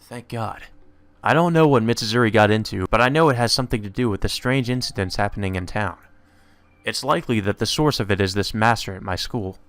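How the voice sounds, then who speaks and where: A man's voice: lowish voice, brisk, a bit bright, clear, resonant tone, no echo. A man hosting a let's play, reading visual novel dialogue aloud in an informal way and adding asides. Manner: informal, slips into character voices. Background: video game music.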